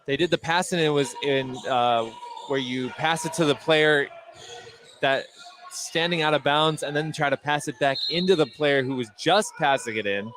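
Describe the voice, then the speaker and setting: Guy calling a basketball game live on radio, voice a bit high, short punchy calls, lots of noise behind him. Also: moderate pace. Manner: short clipped calls, rising on big plays. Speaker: play-by-play sports announcer. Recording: clearly noisy live game broadcast.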